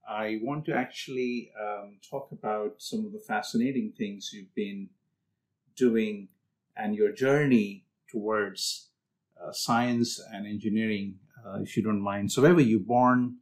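A man hosting a podcast, greeting listeners brightly and asking questions in a chatty way with some fillers. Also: lowish voice, steady tone, a little slow. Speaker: podcast host.

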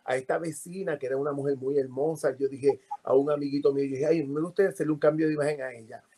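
A Puerto Rican man, a podcast guest, telling animated stories about his life with frequent fillers. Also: a little high, quick pace. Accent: Puerto Rican Spanish. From Puerto Rico. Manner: animated storytelling with many fillers. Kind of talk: casual interview.

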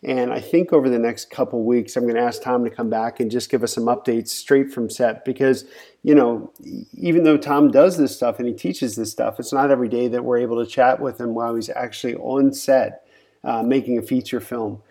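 Male podcast host, mellow dark tone, casual and filler-heavy. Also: medium pitch, steady tone, a little fast. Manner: loose, filler-heavy, friendly setup.

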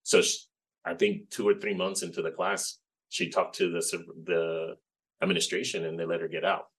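A man in his forties with a very low voice. He is a Spanish speaker who talks as an interview guest. He recounts things reflectively and often adds "you know". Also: level tone, a bit bright. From Puerto Rico. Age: 40s. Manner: reflective, unhurried storytelling with frequent "you know". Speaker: guest, game developer. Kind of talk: casual interview chat.

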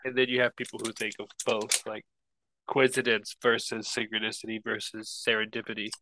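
A man's voice: on the low side, flat delivery, a little fast, background noise. A young man with a stuffy nose, chatting casually as a podcast co-host, rambling and hesitant. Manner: rambling, hesitant, laughs easily. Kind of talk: casual chat.

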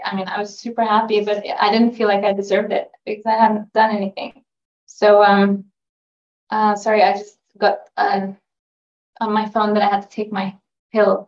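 A woman's voice: mid-range voice, darkish tone. A woman guest and executive assistant, chatting in a rambling, apologetic way over a video call. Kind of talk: casual chat. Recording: dull lo-fi audio.

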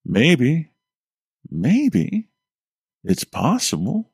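Male narrator voicing a high, folksy Vermont plumber, blunt and joking. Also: animated, normal speed, vocal fry, a little mellow, no room sound, studio quality. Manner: folksy, drawling, a bit crude.

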